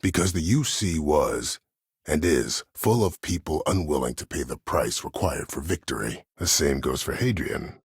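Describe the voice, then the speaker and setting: Very deep, husky ruthless old commander. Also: male voice, animated, no echo, clean studio sound, exaggerated voice. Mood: proud, calculating. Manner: measured, formal, imperious, calculating. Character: disgraced ruthless old military commander, coldly self-justifying schemer. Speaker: video game villain. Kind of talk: dramatic game dialogue.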